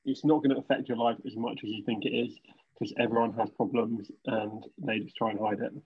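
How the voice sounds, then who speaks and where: Husky young English man, dull recording. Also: medium pitch, fairly even intonation, fairly quick. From Milton Keynes, England. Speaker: panel participant, accountant. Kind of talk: casual chat. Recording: dull lo-fi video call.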